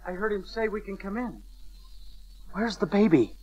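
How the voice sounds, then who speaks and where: A man's voice: high-pitched, animated, normal speed, darkish tone, no room sound. A man acting an eager, awestruck shepherd in a dramatized audio Bible. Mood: awed, eager. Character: awestruck, eager shepherd visiting the newborn Messiah. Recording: dull lo-fi cassette transfer.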